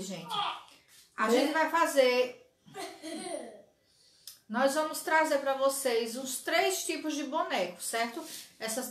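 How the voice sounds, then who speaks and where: A woman's voice: high-pitched, a bit bright, roomy sound. Chatty woman hosting a craft live stream. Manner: chatty, greets viewers by name, frequent "certo?" tag questions. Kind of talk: live sewing tutorial chat. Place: small craft studio with shelves of dolls, indoors.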